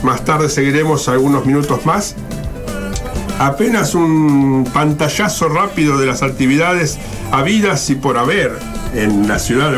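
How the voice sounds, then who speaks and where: A man with a Buenos Aires Argentine accent hosting a radio show, reading out event notices in an informal, ad-libbed, conversational way. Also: mid-range voice.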